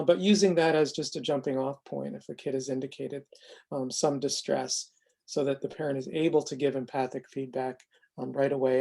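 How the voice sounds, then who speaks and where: A presenter giving an explanatory software demonstration in a measured way, often pausing mid-sentence. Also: medium pitch. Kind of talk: semi-scripted explanatory demo narration.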